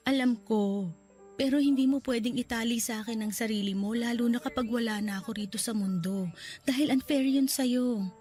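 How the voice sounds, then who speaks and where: A woman playing a gravely ill young lover. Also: fairly high pitch, fairly even intonation, normal speed, faint music behind, lightly acted. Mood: earnest. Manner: soft and pleading, voice breaking into tears. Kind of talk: radio drama acting.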